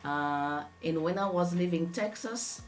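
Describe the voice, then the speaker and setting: A woman from Brazil, a pastry chef, chatting as an interview guest, enthusiastic and quick to laugh. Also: lowish voice, faint music behind. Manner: enthusiastic, laughs often, acts out her own exclamations. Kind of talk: casual interview.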